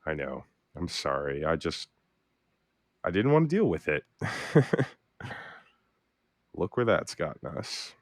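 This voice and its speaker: Audio drama: male actor as guarded fugitive, low voice, very lively intonation, hesitant and self-blaming. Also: slow, long pauses, no room sound, studio quality, exaggerated voice. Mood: guilty, bitter. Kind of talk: naturalistic audio drama dialogue.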